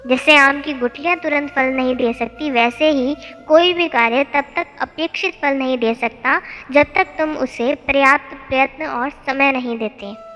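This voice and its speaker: कहानी में एक महिला धैर्यवान, स्नेही बुज़ुर्ग गुरुजी का किरदार बोल रही है, जो पिता की तरह ठहरकर समझाते हैं।. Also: female voice, high voice, a bit bright, lo-fi sound. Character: patient, gentle old male guru teaching a lesson. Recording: long hall reverb, music bed.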